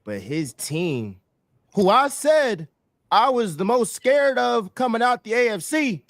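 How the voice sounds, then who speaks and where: A man with a very high voice hosts a casual sports show, hyped enough to break into a shouted chant. He talks slowly and stretches his words out. The sound is dry and close, with no room around it. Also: sing-song, no room sound. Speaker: podcast host.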